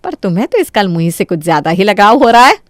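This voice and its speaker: A woman voicing a quick-tempered, berating Indian mother-in-law in a Hindi cartoon story. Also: mid-range voice, sing-song, no echo, clean studio sound, natural voice. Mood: grudging. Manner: harsh, exclamatory, berating.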